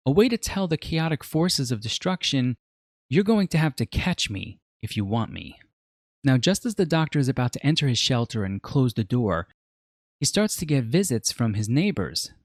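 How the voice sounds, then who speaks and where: A man's voice: mid-range voice, no room sound, studio quality. A man narrating a scripted video essay as a media reviewer, telling the story in a dramatic, reflective way.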